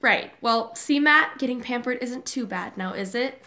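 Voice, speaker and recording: Upbeat, kind high school girl played by a woman, higher voice, audio drama role. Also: normal speed, bright, forceful tone. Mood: cheerful. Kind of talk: amateur audio drama acting.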